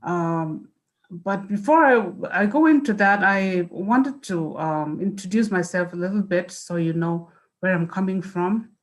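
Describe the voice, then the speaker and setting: A woman whose first language is Mizo speaks in a somewhat low voice over a video call. She introduces herself in a lecture, pausing often with fillers and restarts. Her delivery is unhurried and thoughtful. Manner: hesitant, many fillers and restarts. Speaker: guest speaker, linguist.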